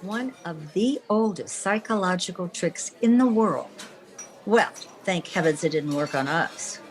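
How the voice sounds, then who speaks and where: A woman playing a sharp, self-assured lady publisher from an old-time radio comedy. She takes her time over the words and swings her pitch expressively. The delivery is teasing and theatrical. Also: lively intonation, a little slow, rough recording. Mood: indignant, scheming.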